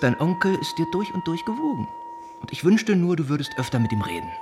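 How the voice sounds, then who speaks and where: An actor playing an earnest, gently reproving young gentleman in a radio drama, speaking in thoughtful, formal phrases. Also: sits high, huge pitch swings, quick pace, over music. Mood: tender encouragement.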